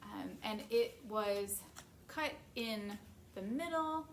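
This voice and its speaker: A woman's voice: mid-range voice, animated, slow, slight background noise. A woman hosting a library story time, reading a picture book aloud and chatting casually to viewers.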